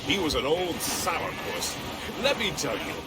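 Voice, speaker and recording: A man's voice: a little high, big pitch swings, unhurried. A man playing a jovial, talkative first mate of a sailing warship in a video game, folksy and theatrical. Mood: chatty, wry. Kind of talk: video game cutscene acting. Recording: loud sea and ship noise.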